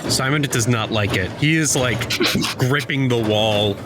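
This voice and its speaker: A man's voice: medium pitch, measured pace, background noise. A man playing a tabletop game, chipping in with casual, informal, joking roleplay banter.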